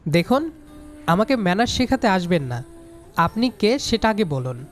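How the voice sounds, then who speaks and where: A man's voice: sits high, big pitch swings, moderate pace. Young man narrating a story over music. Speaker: story narrator playing the student hero. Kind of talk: scripted first-person story narration with acted dialogue. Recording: music under the voice. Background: background music.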